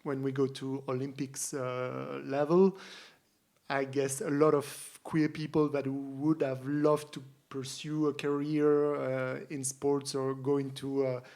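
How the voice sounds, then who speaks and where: Flat-toned man. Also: mid-range voice, takes it slowly. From France. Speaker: podcast guest. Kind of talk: casual panel discussion.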